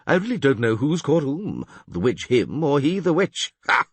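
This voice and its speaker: A man's voice: mid-range voice, sing-song, moderate pace, husky, dry recording, pitched above the performer's own voice. A man voicing a smug, lecturing bald male priest in a fantasy audiobook. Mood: gloating. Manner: lecturing, know-it-all.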